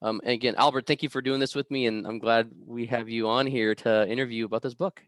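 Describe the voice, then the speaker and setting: A man from the United States hosting an informal online interview as the interviewer, speaking in a halting, hesitant way. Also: mid-range voice, fairly even intonation, no room sound.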